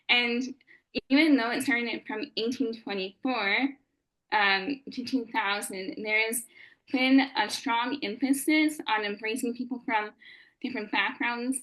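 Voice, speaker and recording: A young woman, from Canada, speaks as the guest presenter at a society meeting. Her voice sits on the high side. She talks through her talk with an earnest, careful manner and stops often to correct herself. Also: a bit bright, rough recording. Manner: earnest, with frequent "um" pauses and self-corrections. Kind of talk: prepared lecture.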